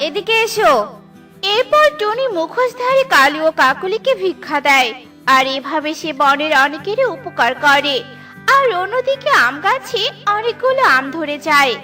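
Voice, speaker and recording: A woman's voice: very high-pitched, sing-song, moderate pace, pushed and bright, soft background music. A woman giving scripted narration for a children's cartoon story.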